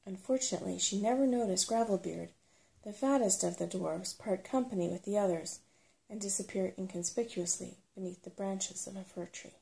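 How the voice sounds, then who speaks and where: Female ASMR storybook narrator, mellow and measured, with noticeable vocal fry. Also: mid-range voice, poor audio. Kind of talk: soft ASMR storybook reading.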